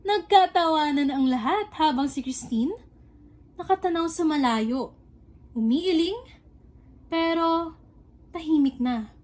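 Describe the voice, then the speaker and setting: A woman's voice: sits high, slow pace, long pauses, darkish tone. A young woman reading scripted narration for a student-style radio drama.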